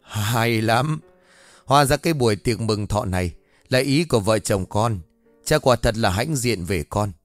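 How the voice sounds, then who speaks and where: A man's voice: lowish voice, expressive, measured pace, no room sound, faint music behind. A man voicing an arrogant, greedy rich old man who talks down with scorn. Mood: pleased. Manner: scornful, shouting.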